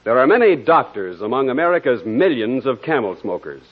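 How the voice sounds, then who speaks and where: A man's voice: mid-range voice, sing-song, a little fast. A man delivering a scripted 1940s radio commercial as an announcer. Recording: tinny, narrow-band old radio.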